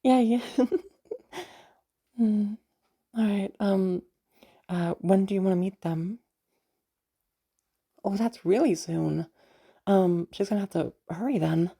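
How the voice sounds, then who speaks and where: A woman plays a sweet young woman in an audio roleplay, nervous and eager to please. She stammers and hesitates in a giggly, bubbly way. Her tone is mellow, with some creak at the edges. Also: medium pitch, takes it slowly, pauses often, heavily acted. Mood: cheerful, flustered. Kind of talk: roleplay audio drama, one side of a phone chat.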